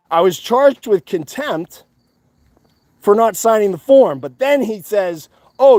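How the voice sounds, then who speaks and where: A loud, defiant man rants to his viewers in a high-pitched voice. He swears freely and hammers his points home by repeating them. The sound is dry and close. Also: no room sound. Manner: profane. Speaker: activist channel host. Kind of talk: fired-up monologue to viewers.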